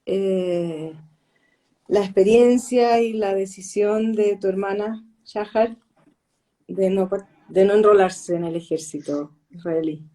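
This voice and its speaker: A woman with a very mellow, dark voice at a medium pitch. She speaks as a co-host in a conversational interview, phrasing things tentatively and with care. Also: slow, low-quality recording.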